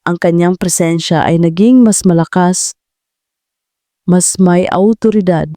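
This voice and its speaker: A woman's voice: fairly low pitch, a little slow, warm tone, dry recording, clean studio sound. A woman storyteller reading a scripted audio drama in a dramatic narrating style.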